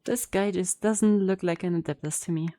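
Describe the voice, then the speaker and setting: Mellow, lowish-voiced woman streamer. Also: normal speed, no room sound. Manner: wry, sceptical. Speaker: streamer playing and reacting to a game. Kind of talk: casual reaction commentary.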